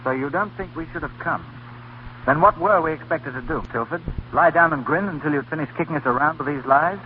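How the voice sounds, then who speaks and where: A man's voice: a little high, brisk, close to an everyday voice. An actor playing an indignant, sardonic male doctor in a 1930s radio drama, with a clipped, sarcastic delivery. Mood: bitter, sarcastic. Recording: tinny, faintly noisy 1930s radio broadcast.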